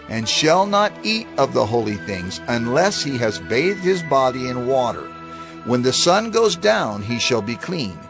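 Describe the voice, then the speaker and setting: An actor playing a solemn, instructive reciter of divine law in a formal, measured dramatized scripture reading. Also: male voice, medium pitch. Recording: music under the voice. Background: music.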